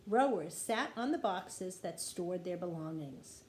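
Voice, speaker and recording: A woman reading a children's chapter book aloud in an expressive storytelling style. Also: fairly low pitch, a little slow. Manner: animated storytelling with acted dialogue. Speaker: teacher reading aloud to kindergarteners. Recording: roomy echo with light background noise.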